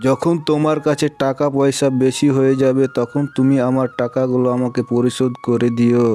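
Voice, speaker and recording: A man playing a kind, generous village gentleman who moralizes reassuringly in a cartoon story. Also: medium pitch, smooth, lightly acted.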